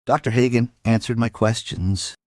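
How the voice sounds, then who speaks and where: A man playing a world-weary police detective, narrating a crime audio drama in a measured, musing way. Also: mid-range voice, fairly even intonation, a little mellow, dry recording, close to an everyday voice. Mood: reflective. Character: world-weary male police detective telling his case.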